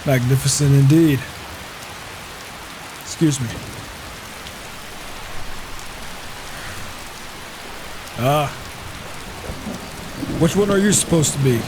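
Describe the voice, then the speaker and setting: A man's voice: mid-range voice, unhurried, background noise, lightly acted. A man roleplaying a reclusive, observant warrior in a fantasy game, musing to himself in muttered asides over a flawed home mic. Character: reclusive male warrior, wry, observant wanderer. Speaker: player roleplaying a character in a game.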